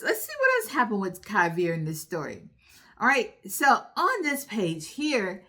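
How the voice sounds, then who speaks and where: Slow-talking woman hosting children's storytime. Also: mid-range voice, fairly bright tone. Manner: slow, instructive, questioning the young listeners; encouraging. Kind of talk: read-aloud story recap for young children.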